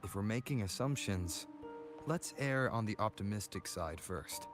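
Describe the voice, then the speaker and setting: A man voicing a calm, earnest young adventurer hero in a video game, measured and reassuring. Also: lowish voice, lightly acted. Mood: reasoning. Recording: music playing over the voice.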